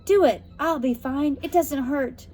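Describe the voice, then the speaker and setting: A woman's voice: high-pitched, a little slow, mellow, slight background noise, pitched above the performer's own voice. A woman voicing an earnest, selfless young girl in a storybook, insistent and reassuring.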